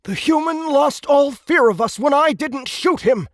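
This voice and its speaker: High-pitched, haughty young alien officer. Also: male voice, lively intonation, unhurried, dry recording, studio quality. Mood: indignant complaint. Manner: indignant, insistent, officious. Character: haughty, insecure young male alien military commander. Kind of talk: audiobook character acting.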